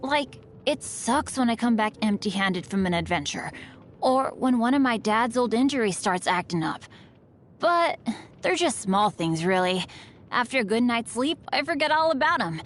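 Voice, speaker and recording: A woman's voice: a little high, expressive, moderate pace, slight background noise, lightly acted. A woman voicing an upbeat, earnest teenage boy adventurer in a video game, eager and boyish. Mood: glum, then upbeat. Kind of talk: scripted game voice lines. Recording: music behind the voice.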